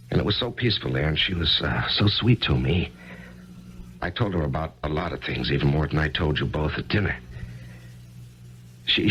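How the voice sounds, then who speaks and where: An actor in a 1970s radio drama playing a gentle, earnest middle-aged author in grief, who stammers when flustered, heard on a muffled old broadcast. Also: male voice, low-pitched, slight background noise.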